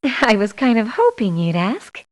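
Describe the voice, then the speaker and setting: A blunt, sassy young female mercenary from a video game. Her voice sits fairly high and swings widely, with slow, emphatic delivery of each line. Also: sing-song. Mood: coy. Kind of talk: video game character voice lines. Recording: muffled lo-fi game audio clips.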